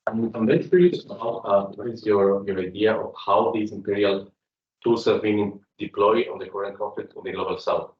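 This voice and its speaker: A man's voice: on the low side, steady tone, normal speed, highs cut off. Distant man from Ecuador in a roomy hall. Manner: searching for words, with many fillers. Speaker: audience member asking a question. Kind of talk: seminar question. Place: seminar room.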